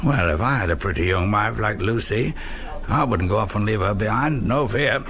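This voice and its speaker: Genial, prying English store buyer: deep voice, hearty and familiar. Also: male voice, animated, moderate pace, a bit bright. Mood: teasing. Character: genial, nosy store buyer, flattering and teasing. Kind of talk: British radio drama acting.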